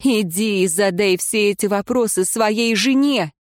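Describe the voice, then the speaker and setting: A woman voicing a defiant female prisoner who mocks and screams at her jailer in a high, sneering voice. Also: measured pace, dry recording, studio quality, lightly acted. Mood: mocking.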